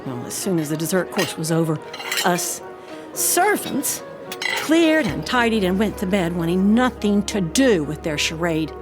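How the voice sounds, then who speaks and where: A proud, haunted housekeeper tells her tale in an audio drama. Her voice is on the low side, expressive and deliberate, with soft music beneath it. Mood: haunted, grave.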